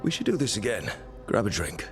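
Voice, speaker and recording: A calm, curious adventurer. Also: male voice, lowish voice, over music. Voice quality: calm, inquisitive, measured. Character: curious adventurer leader, measured and probing. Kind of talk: video game cutscene dialogue.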